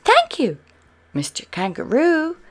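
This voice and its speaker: A woman voicing an excitable, polite young male puppy in a children's storybook, with childlike, eager exclamations. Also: high-pitched, slow, pitched above the performer's own voice, full character voice. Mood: delighted.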